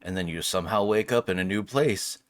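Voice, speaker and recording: Low-voiced joking man doing game commentary. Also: brisk, no room sound. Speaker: gaming video host. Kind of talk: casual let's play commentary with improvised role-play. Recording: game ambience noise behind the voice.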